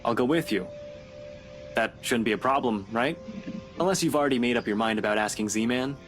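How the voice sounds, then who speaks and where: An actor voicing a supportive young man in a horror visual novel. He speaks in a steady, reassuring way. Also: medium pitch, brisk, soft background music, lightly acted. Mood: concerned.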